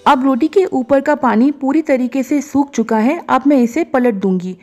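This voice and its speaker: A woman calmly narrating a cooking recipe step by step as a voice-over, slipping English words into her sentences. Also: a little high. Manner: calm, instructive, mixes English words into Hindi. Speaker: cooking show host. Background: soft background music.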